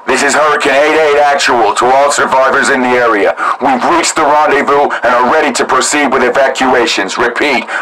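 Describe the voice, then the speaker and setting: Terse male Guard dispatcher, mid-pitched voice, clipped radio procedure, radio-filtered, faint hiss behind. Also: fairly bright tone, low-quality recording. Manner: clipped, formal radio call-outs.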